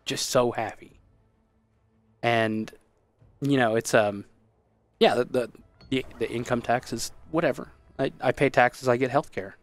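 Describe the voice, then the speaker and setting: A man's voice: mid-range voice, steady tone, measured pace, long pauses, faint music behind. Playful man in his thirties. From Texas, USA. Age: 30s. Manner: playful, hammy, breaks into loud exaggerated bits. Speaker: streamer co-host. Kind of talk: casual gameplay chat. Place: small home room.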